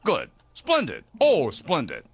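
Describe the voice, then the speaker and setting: An actor playing an eccentric older gentleman, a secretive mentor with a grandiloquent, fatherly manner in a theatrical radio drama. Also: fairly high pitch, wildly swooping pitch, slow, gravelly, slightly rough, no echo, exaggerated voice. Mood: eager, jovial. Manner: grandiloquent, avuncular, emphatic. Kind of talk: theatrical audio drama acting.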